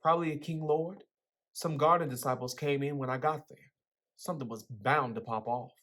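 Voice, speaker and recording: A woman's voice: low-pitched, moderate pace, a bit bright. A woman playing a cocky, teasing young man with slangy, swaggering speech in a dramatic reading of a novel. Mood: casual, defiant.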